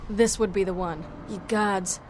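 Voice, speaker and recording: A woman's voice: mid-range voice, steady tone, unhurried, a little hiss or hum, natural voice. A woman playing a cocky, foul-mouthed young half-vampire killer in a video game, sardonic and swaggering. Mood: wry.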